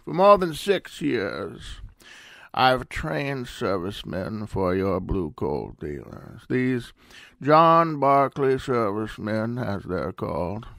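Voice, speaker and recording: An actor playing a folksy, earnest heating expert reading a 1930s style radio advertisement. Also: male voice, fairly low pitch, vocal fry, a bit bright, no room sound, clean studio sound, full character voice.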